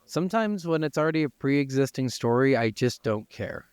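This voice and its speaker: A man's voice: mid-range voice, lively intonation, normal speed, darkish tone, clear, resonant tone, no echo, studio quality. A man co-hosting a podcast in casual banter, talking in a joking, sweary way. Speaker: podcast co-host. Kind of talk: casual banter between co-hosts.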